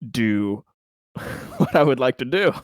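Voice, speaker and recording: A man's voice: mid-range voice, lively intonation, slow, no room sound, studio quality. A man playing a tabletop roleplaying game, talking casually through his moves.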